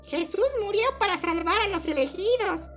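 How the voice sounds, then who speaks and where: Very shrill frog puppet preacher. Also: female voice, fairly bright tone, soft background music. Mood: pleasant, menacing. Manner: slow, drawn-out, preachy. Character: sweetly cruel frog puppet preaching damnation. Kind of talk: horror story fandub character acting.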